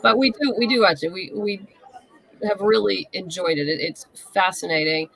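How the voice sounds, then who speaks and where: Creaky-voiced woman in casual conversation. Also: mid-range voice, normal speed, a bit bright, dry recording, rough recording. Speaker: interview guest, writer. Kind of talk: casual chat.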